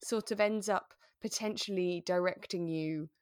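A woman chatting casually as co-host of a relaxed podcast. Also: fairly low pitch, unhurried, clear, resonant tone, no echo, clean studio sound. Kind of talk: relaxed casual chat about a radio drama.

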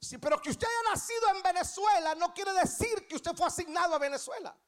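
A woman's voice: very high-pitched, brisk. A woman in her thirties with a Guatemalan Spanish accent preaches a sermon, emphatically repeating key phrases and putting questions to the congregation. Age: 30s. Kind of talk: church sermon.

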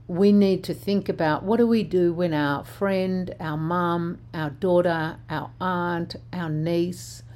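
A woman's voice: lowish voice, mellow. An older woman, host of a social justice talk, speaking bluntly and passionately while she is losing her voice. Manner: impassioned, blunt, conversational. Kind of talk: spoken commentary on social justice.

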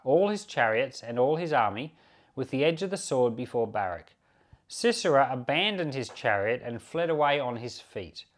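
A man's voice: mid-range voice, fairly bright tone. A man with a mid-range voice speaks as a pastor presenting a Bible podcast. His intonation moves widely and expressively as he explains and reads scripture. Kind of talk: Bible teaching and scripture reading.

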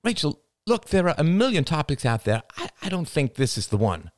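A man's voice: on the high side, sing-song, a little fast, no echo, clean studio sound, voice pushed up. Audiobook role: intense young family man, insistent, wide-ranging delivery male narrator acting. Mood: reluctant. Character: Ian, intense, insistent husband and father. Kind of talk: dramatic audiobook dialogue.

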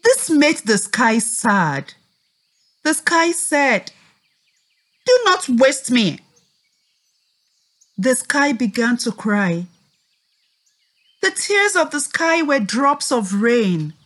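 Very slow, high-pitched woman reading to children. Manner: slow, deliberate, expressive, prompting listeners to repeat. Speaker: teacher leading a children's read-aloud lesson. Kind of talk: scripted lesson and storytelling for young children.

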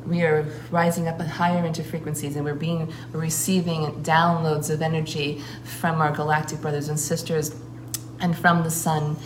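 A woman who works as a shamanic priestess, talking earnestly in a flowing way and pausing often to find her words. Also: sits low, little pitch movement. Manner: earnest, flowing, with frequent filler pauses. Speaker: shamanic priestess and guest speaker. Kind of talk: casual spoken account. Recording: roomy recording with clear background noise.

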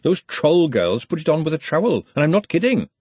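A man's voice: a little high, sing-song, brisk, a little mellow, husky, no room sound, pitched above the performer's own voice. A man voicing a down-to-earth working woman, confident and persuasive, in an audiobook. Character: brisk, down-to-earth working woman selling cosmetics. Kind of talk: audiobook character acting.

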